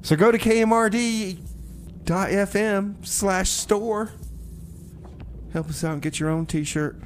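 A man's voice: high-pitched, slow, a little hiss or hum. A man hosting a community radio music show, talking casually with stammers, restarts and laughter. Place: small radio studio.

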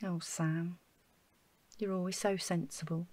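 A woman's voice: fairly low pitch, level tone, measured pace, darkish tone, no room sound. Woman acting an anxious, hesitant woman, close and dry. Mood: anxious, grateful. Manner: hesitant, sighing, emotional. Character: Rachel, anxious, vulnerable young woman, apologetic and earnest. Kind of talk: naturalistic audio drama acting.

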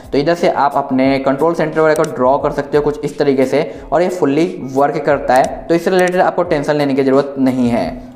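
A man's voice: on the high side, darkish tone. A man presenting an informal tech review in an explanatory, reassuring way. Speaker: tech reviewer, video host. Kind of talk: informal phone software review narration. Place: indoors, echoey room. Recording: roomy indoor echo.

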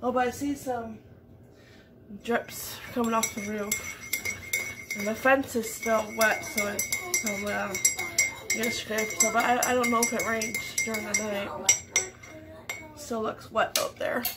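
A woman's voice: fairly high pitch, fairly even intonation, a little slow, long pauses, heavy background noise. A woman in her thirties chatting casually to camera in her home kitchen, rambling and laughing often. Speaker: vlogger. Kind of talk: casual morning chat to camera.

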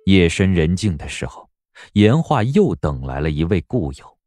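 A man narrating a period audio drama in a measured, literary storytelling style. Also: low-pitched, sing-song, warm tone, no room sound.

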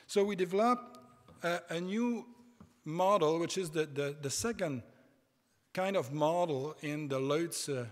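French Canadian man lecturing in a hall. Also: fairly high pitch. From Quebec, Canada. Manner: halting, many hesitations and restarts. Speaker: conference speaker. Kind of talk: conference lecture. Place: large conference hall stage. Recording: reverberant conference hall.

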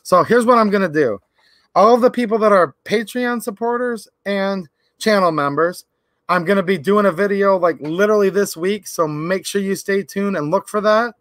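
A man in his thirties hosting a livestream, talking casually and earnestly to his viewers, his voice a little raspy from cold and pollen. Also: high voice, moderate pace, fairly bright tone, no room sound. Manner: earnest, rambling, repeats "literally" and "like". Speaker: channel host. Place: indoor workshop or garage.

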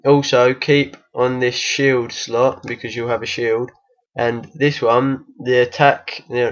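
A man with a croaky voice from being unwell gives a casual, hesitant game tutorial voice-over. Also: medium pitch, a bit bright, highs cut off. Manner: hesitant, many ums and restarts. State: croaky from illness. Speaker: game guide maker.

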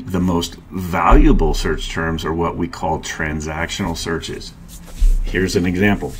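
A middle-aged man narrating a screen-share tutorial, calmly explaining each step and click as a marketing instructor. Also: low-pitched, slight background noise. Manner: calm, explanatory, walks through clicks step by step.

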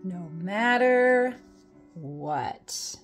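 A woman's voice: medium pitch, expressive, soft background music. A woman reading a children's picture book aloud in a playful, theatrical way, with exaggerated expression. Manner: playful, exaggerated mock dismay, dramatic drawn-out words and whispers. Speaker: storytime reader.

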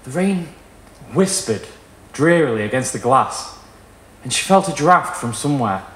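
A young man reading a literary short story aloud in a measured, dramatic way. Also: medium pitch, lively intonation, a bit bright, roomy sound, poor audio. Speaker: reader at a live short story event. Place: small indoor performance room with wood panelling.

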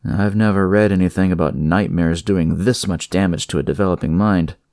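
A narrator as a measured, clinical male doctor. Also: low voice, a little mellow, dry recording, clean studio sound. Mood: grave, musing. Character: calm, clinical male doctor, thoughtful and authoritative.

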